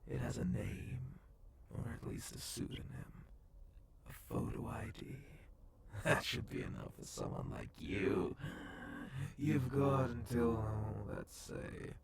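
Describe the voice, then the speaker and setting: Man acting a gravelly, whispering cyborg gang boss. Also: expressive, heavy background noise. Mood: taunting, commanding. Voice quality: breathy whisper mixed with gravelly low voice. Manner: slow, deliberate, taunting, swearing. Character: menacing sadistic male cyborg gang boss and bounty hunter. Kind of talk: dark ASMR roleplay acting.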